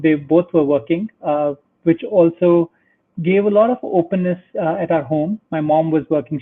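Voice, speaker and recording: A man from India, a guest in a remote interview, speaking in a friendly way with frequent hesitations and fillers. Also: on the high side. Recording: tinny phone-like line.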